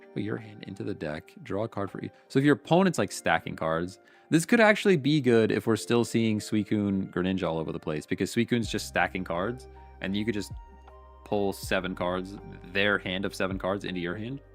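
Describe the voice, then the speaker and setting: A man's voice: fairly low pitch, animated, brisk. Congested man reading cards over faint music. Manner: reads card text aloud, then reacts with wry opinions. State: congested, sniffling. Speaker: streamer. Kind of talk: casual pack-opening commentary.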